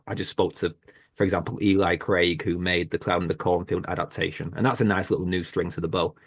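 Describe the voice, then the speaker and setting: A British man, a horror podcast host, talking as a guest on a video-call panel in a relaxed, self-deprecating way. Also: deep voice. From Britain. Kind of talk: casual panel conversation.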